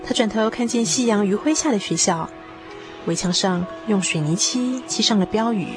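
Female storyteller, mid-pitched, expressive and measured, scripted drama narration with music bed. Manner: expressive, measured literary delivery. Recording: music behind the voice.

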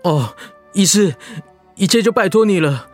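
A man's voice: high voice, expressive, moderate pace, a little mellow, faint music behind. A man playing a worried, deferential son of a sick mother in a radio drama. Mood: anxious.

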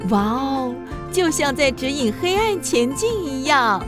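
Very animated, slow-paced female storyteller. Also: sits high, over music. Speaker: storyteller narrator. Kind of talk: expressive scripted children's story narration.

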